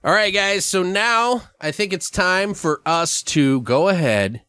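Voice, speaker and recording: A man's voice: sits high, expressive, a little slow, sharp tone, clear, resonant tone, no echo. Rambling man podcasting, dry close sound. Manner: rambling, profane, slangy. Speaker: podcast host. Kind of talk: casual podcast chat.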